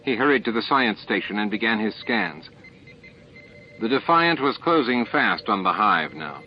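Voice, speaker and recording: A man's voice: lowish voice, expressive, moderate pace, faint music behind. A man reading a science fiction novel as a scripted audiobook narration. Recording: muffled, band-limited tape audio.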